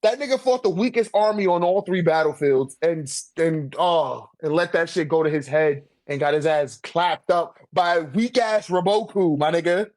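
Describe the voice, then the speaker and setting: A man's voice: on the high side, moderate pace, hardly any pauses, a little mellow, no echo. A man arguing casually and heatedly, with emphatic, repetitive, profane slang. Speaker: co-host debating anime character rankings.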